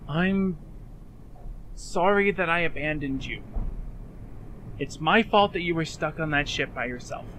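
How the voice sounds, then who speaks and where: A man's voice: fairly high pitch, a little slow, pauses often, fairly bright tone, slight background noise, poor audio. A man playing an anxious, self-blaming young man in a fan dub drama, speaking earnestly and hesitantly. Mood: apologetic.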